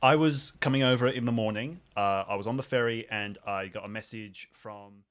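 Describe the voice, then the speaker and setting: A man's voice: on the low side, normal speed, a bit bright, close to an everyday voice. Man playing a timid, apologetic rookie ranger. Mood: earnest. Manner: hesitant, apologetic. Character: earnest, apologetic, timid newly commissioned young park ranger.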